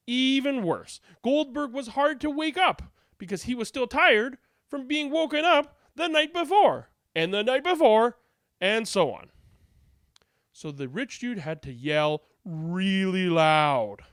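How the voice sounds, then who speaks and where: A woman's voice: on the high side, lively intonation, slow pace, dry recording, clean studio sound. A woman reading a children's storybook aloud as narrator, with a dramatic, comic, emphatic delivery.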